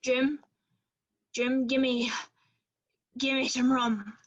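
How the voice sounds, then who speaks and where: A woman playing a rough, fearful old male sea captain who craves rum, in a radio play with sailor dialect. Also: high-pitched, little pitch movement, drawn-out words, muffled recording, full character voice. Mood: desperate.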